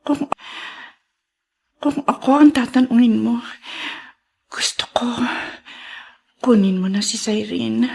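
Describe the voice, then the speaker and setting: An actress playing a gravely ill, repentant and gentle mother in a melodrama, speaking haltingly and tearfully. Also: on the high side, exaggerated voice. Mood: weak, repentant. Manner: halting, emotional delivery. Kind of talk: Filipino melodrama acting.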